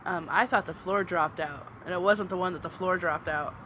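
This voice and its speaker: Easygoing woman, deeper than usual. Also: moderate pace, fairly bright tone, slight background noise. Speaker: podcast co-host. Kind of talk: casual chat.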